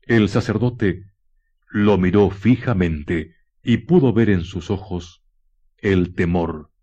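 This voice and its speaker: A man's voice: sits low, slow, dark tone. A man giving solemn, literary narration for a radio drama. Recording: dull lo-fi 1990s radio recording. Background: music.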